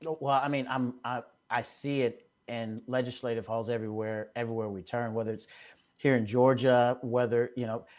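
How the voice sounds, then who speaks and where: An older man arguing in a live debate, starting sentences haltingly with many stammers. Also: medium pitch, little pitch movement, a little mellow. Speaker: debate guest.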